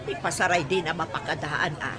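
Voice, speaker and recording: A woman's voice: deep voice, fairly quick, crowd noise, lightly acted. A woman acting a gossipy, concerned older village mother-in-law in an Ilocano radio comedy drama, with an Ilocano accent.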